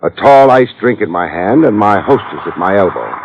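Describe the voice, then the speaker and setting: A man's voice: fairly low pitch, expressive, normal speed, noisy background. An actor playing a wry, hard-boiled male private investigator who narrates in slangy 1950s radio drama style. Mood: wry narration. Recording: dull old radio transcription with music.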